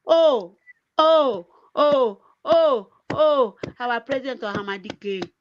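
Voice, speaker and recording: A Nigerian woman whose first language is Igbo speaks high and slow in a heated activist monologue. She circles back over her words and often breaks off mid-sentence. Her voice comes through muffled, lo-fi livestream audio. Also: lively intonation. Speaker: political livestream host. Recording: dull lo-fi stream audio.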